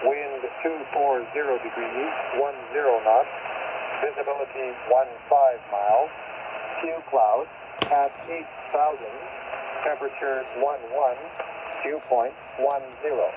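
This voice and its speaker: A man's voice: medium pitch, measured pace. A man reading an aviation weather report over shortwave radio in clipped phrases. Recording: tinny shortwave radio, clear static.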